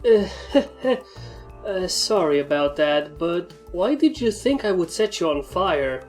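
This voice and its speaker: A woman's voice: on the low side, animated, takes it slowly, darkish tone. A curious young male dragon from a cartoon fan story, voiced by a woman. He talks casually and full of questions, easily startled. Music plays behind him. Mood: curious, surprised.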